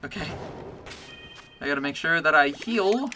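A man with a bass voice streaming a game, chatting casually and getting excited or pleading with the game as he plays. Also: normal speed, a little hiss or hum. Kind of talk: casual gameplay commentary. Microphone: desk mic with webcam.

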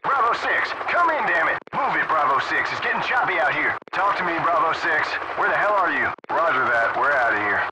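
An actor voicing a gruff military helicopter pilot in a video game, speaking clipped radio commands through a radio filter. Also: male voice, a little high, very animated, brisk, hardly any pauses. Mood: urgent, alarmed. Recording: noisy radio with aircraft and gunfire din.

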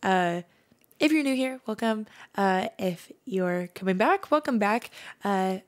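A young woman in her twenties hosting a faith podcast, a little hoarse and raspy from screaming, talking in a chatty, rambling, earnest way with lots of fillers. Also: medium pitch, expressive, measured pace. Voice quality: slightly raspy. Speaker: podcast host. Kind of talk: casual faith podcast monologue. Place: living room set, seated on couch.

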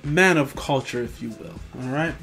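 A man's voice: medium pitch, normal speed, fairly bright tone, soft background music. A young man rambling casually about collectible figures, profane and tired after work, tripping over names. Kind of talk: casual commentary over screen images. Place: home room.

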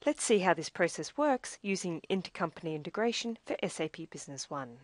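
A woman with a somewhat low voice narrates a software demonstration from a script. She explains each step in a measured way. The sound is close and dry, with very little room in it. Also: expressive, no room sound. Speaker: software demo presenter.

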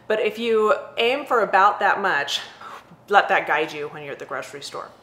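A woman's voice: mid-range voice, animated, moderate pace, bright, forceful tone. A woman in her forties giving casual, practical meal prep advice to camera from her home kitchen. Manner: conversational, practical, upbeat. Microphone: webcam or laptop at close range. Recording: echoey room sound.

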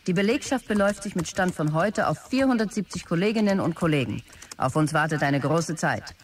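Low-voiced brisk female executive, played by an actress. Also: lively intonation, slight background noise, lightly acted. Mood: businesslike. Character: brisk female start-up executive dictating office mail. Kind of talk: German audio drama, dictated messages.

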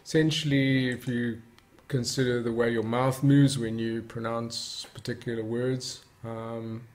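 A man presenting a casual software tutorial, explaining things and defining terms as he goes. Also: mid-range voice, little pitch movement, measured pace.

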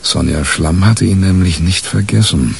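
Male narrator for crime radio play: cynical newsman, low and gravelly, wry and cocksure. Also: moderate pace, slight background noise. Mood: candid, wry. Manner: wry, colloquial, boastful. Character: cynical, self-assured male press reporter telling his story.

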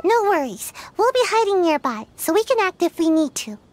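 Very high-pitched little ninja girl. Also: sing-song, a little fast, dry recording. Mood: calm, assured. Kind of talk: anime-style video game character acting.

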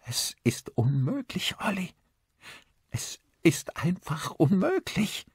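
A distraught young wife from a horror audiobook, acted by a male narrator. The voice is lifted high and husky, trembling with urgent fear. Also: sing-song, takes it slowly, dry recording, clean studio sound, full character voice. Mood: trembling disbelief.

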